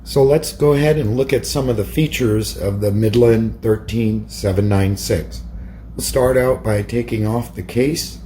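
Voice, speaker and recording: A man's voice: fairly low pitch, measured pace, dark tone, a little hiss or hum. A man narrating a hands-on product demonstration as a hobbyist presenter.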